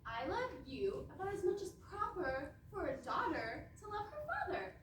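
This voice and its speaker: Flippant young princess played by a woman, cool schemer, high and animated, comic stage, slightly distant. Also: normal speed, heavily acted. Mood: cool, dismissive. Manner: flippant, theatrical. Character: cool, scheming, self-respecting young fairy-tale princess. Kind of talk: comic stage readers theatre. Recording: roomy, distant stage recording, faint noise.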